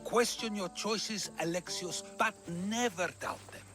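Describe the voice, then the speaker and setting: An actor playing a thoughtful male philosopher in a video game cutscene, speaking in a measured way. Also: sits high, over music. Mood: calm. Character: older male philosopher, wise and questioning. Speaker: game character.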